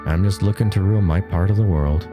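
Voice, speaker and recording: A man's voice: low-pitched, fast talker, over music, natural voice. A man playing an offhand, self-assured older brother in an audio drama. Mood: aloof. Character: Jackson, aloof older brother leaving for college.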